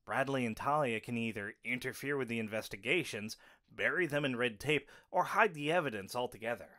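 Male werewolf packmate, man acting, audio drama: medium pitch, practical, steady, the voice of reason. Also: fairly quick, close to an everyday voice. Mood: analytical. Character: practical, level-headed male werewolf of the pack.

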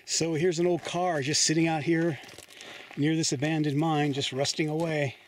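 A man's voice: on the high side, little pitch movement, normal speed, a little hiss or hum. A man casually narrating as he explores an abandoned mine and desert, thinking aloud with hesitant, musing remarks. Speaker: explorer vlogger.

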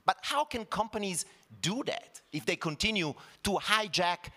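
A man's voice: sits high, sing-song, moderate pace, sharp tone. A man in his forties giving an emphatic, persuasive speech on a conference stage with a handheld microphone. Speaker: law professor and activist speaking on stage.

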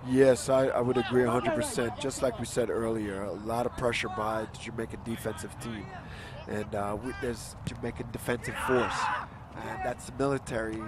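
A man's voice: on the low side, flat delivery, moderate pace, heavy background noise. A man giving laid-back color commentary on a youth rugby match, agreeing with his partner and adding background. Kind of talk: live sports commentary.

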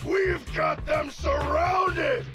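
An actor voicing a hot-headed, vengeful gang henchman in a video game, belligerent and shouting threats over loud music. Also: extremely high pitch, extremely slow, full character voice. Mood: furious. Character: hot-headed, vengeful gangster henchman, loyal to his boss.

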